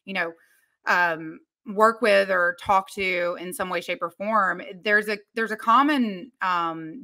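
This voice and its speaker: A woman's voice: fairly low pitch, pushed and bright. A woman in her thirties hosting a video-call interview, asking questions in an upbeat, encouraging way from a home office. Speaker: interview host.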